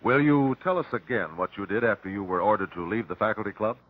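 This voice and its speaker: Male as polished cross-examiner, lowish lively voice, measured and courteous, vintage radio drama. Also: no echo. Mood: probing. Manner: measured, probing, formal. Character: self-assured, courteous male amateur legal investigator. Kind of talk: old-time radio drama acting. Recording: tinny, narrow old radio recording.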